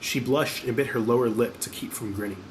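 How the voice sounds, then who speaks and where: A man's voice: medium pitch, a little fast, slight background noise, low-quality recording. A man reading scripted audiobook narration as the narrator.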